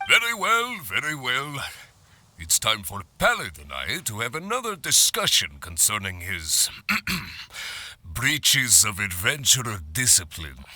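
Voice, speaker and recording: A man's voice: mid-range voice, huge pitch swings, slow, pushed and bright, dry recording, exaggerated voice. A man voicing a pompous, formal guild superior in a video game cutscene. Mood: stern, officious.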